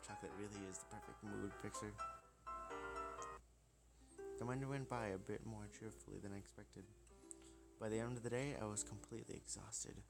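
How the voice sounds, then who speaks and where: A man's voice: lowish voice, fairly even intonation, normal speed, gravelly, long pauses. A man reading first-person visual novel narration aloud. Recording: loud music behind voice. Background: music.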